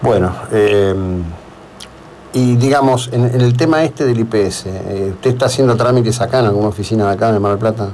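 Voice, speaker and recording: A male Argentine radio host with a fairly low voice. He talks in halting phrases and repeats words for emphasis. There is some faint noise behind him. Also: a little mellow. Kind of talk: live radio interview and commentary. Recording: noticeable background noise.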